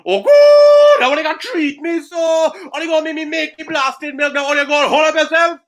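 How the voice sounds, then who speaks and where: A whiny Trinidadian cow in a stage storytelling piece. The voice climbs high and swings widely, drawing out its exasperated complaints in a Trinidadian accent. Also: male voice, animated, brisk, dull audio. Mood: exasperated, indignant. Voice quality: whining.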